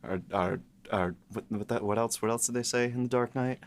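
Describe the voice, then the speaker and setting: A man co-hosting a podcast in casual banter, halting and playful as he talks. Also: medium pitch. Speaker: podcast co-host, series writer.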